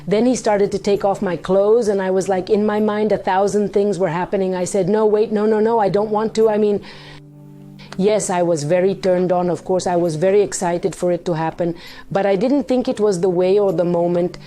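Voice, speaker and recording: Hoarse woman vlogger, mellow voice. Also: mid-range voice, steady tone, normal speed, soft background music. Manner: candid, dramatic retelling with quoted dialogue. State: hoarse from partying, voice partly lost. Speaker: vlogger hosting her own storytime channel. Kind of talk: casual confessional storytelling to camera.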